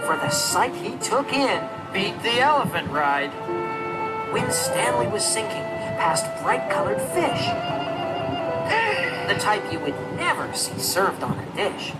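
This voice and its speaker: A children's storyteller reads rhyming verse with a very lively, swooping pitch. The delivery is slow and measured, shaped like a picture-book reading. Loud music plays throughout beneath the narration. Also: poor audio.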